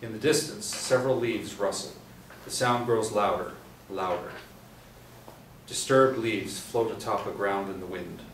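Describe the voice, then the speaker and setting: A man reading a screenplay's scene directions aloud at a table read, steady and measured. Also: lowish voice. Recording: echoey room from far off with faint noise.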